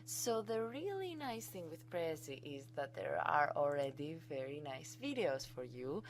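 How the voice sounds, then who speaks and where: A woman's voice: low-pitched, very animated, measured pace, slight background noise. A woman with a Greek accent, a native Greek speaker, giving an enthusiastic, chatty screencast tutorial as a college instructor.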